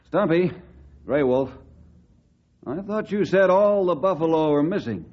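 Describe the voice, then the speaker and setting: An actor playing a calm, commanding ranger leader with a measured delivery in an old-time radio drama. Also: male voice, a little high. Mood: steady, resolute. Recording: dull, noisy old radio recording.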